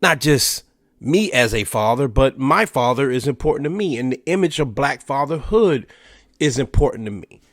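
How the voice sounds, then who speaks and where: A man's voice: mid-range voice, lively intonation, normal speed, dry recording, clean studio sound. Stuffy-nosed man chatting on a podcast. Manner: conversational, filler-heavy with "you know" and restarts. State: stuffy, nasal from allergies. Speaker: podcast host. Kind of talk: casual solo commentary.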